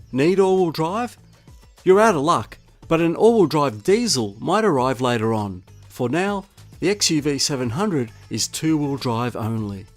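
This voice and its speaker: Aussie guy doing a scripted car review voiceover, really animated, bit of music under it. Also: mid-range voice. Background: music bed.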